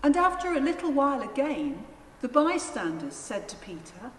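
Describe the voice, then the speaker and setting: A woman narrating a dramatised scripture reading in a solemn, deliberate manner. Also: sits high, sing-song, a bit bright. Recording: echoey church, long reverb, faint noise.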